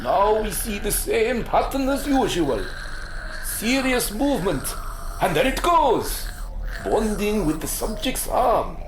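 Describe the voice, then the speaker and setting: Proud showy lady scientist in a radio play, formal n precise, really animated, echoey room. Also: mid-range voice, slow, music in the background. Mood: showman-like. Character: proud, excitable medical scientist presenting an experimental cure. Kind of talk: radio drama acting.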